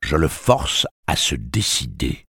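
Guy reading a grim old gunslinger, super deep, slow flat threat in it. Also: slightly rough, dry recording, studio quality, heavily acted. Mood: stern. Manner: slow, measured, cold. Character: hard, weathered gunslinger, older man, stern.

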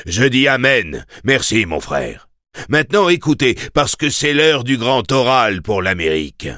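A man's voice: medium pitch, big pitch swings, a little slow, pushed and bright, dry recording, studio quality, pitched above the performer's own voice. A man playing a fervent street preacher who exhorts a crowd in declamatory, repetitive phrases.

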